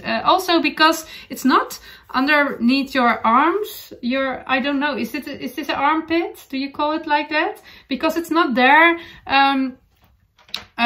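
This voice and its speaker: Dutch woman, forties, home vlogger, slightly high pitch, hesitant non-native English. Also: a bit bright. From Netherlands. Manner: hesitant, many ums and uhs, searching for English words. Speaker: knitting podcast host. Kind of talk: casual chat to camera. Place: bright room at home.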